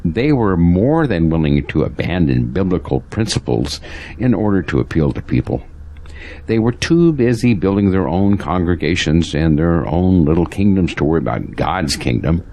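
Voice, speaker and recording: Very deep-voiced, earnest pastor played by a man. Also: very animated, normal speed, dark tone, heavily acted. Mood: grave, disapproving. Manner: earnest, preachy. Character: devout, troubled male pastor, earnest and convicted. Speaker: actor in an audio drama. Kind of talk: earnest audio drama dialogue.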